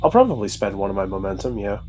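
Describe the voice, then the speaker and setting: A man's voice: lowish voice, very animated, fast talker, darkish tone. A man chatting casually as a player at a tabletop roleplaying game. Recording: music bed behind the voice.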